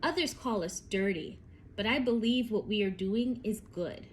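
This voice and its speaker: A woman voicing an earnest, determined young village woman in a storybook, speaking sincerely and deliberately. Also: mid-range voice, a little hiss or hum, natural voice. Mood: earnest, resolute.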